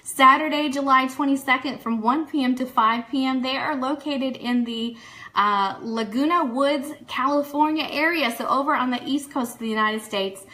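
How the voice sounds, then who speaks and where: A woman's voice: sits high, normal speed, sharp tone. A woman hosting a live online radio show, chatting through fan news and announcements in an upbeat, enthusiastic way that speaks straight to listeners. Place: studio.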